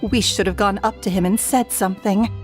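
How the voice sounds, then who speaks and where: A woman's voice: medium pitch, steady tone, brisk, natural voice. A woman voicing an admiring, wistful townsperson in a video game. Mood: starstruck regret. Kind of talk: video game character acting. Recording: violin game music behind the voice.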